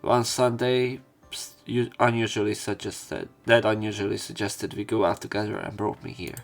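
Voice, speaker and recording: A man doing let's play narration of a visual novel. His voice sits fairly low and he is a bit sick, reading in a halting, casual way over soft background music. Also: level tone, creaky. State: sick with a cough.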